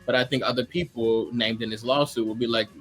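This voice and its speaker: A man's voice: medium pitch, fairly even intonation, a little fast, a bit bright, soft background music, poor audio. A man debating casually as a show panelist, circling back and rephrasing his point with lots of "like".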